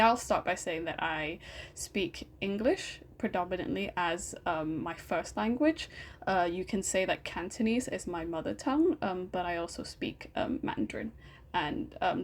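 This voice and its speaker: A woman's voice: on the low side, moderate pace, slight background noise. Young hk woman running a podcast, easygoing, leads with questions. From Hong Kong. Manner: friendly, leads the talk, many fillers. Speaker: podcast host. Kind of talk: casual chat.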